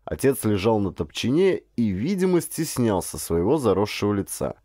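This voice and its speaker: A man narrating a literary audiobook in an expressive, dramatic storytelling manner. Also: fairly low pitch, normal speed, no room sound, studio quality.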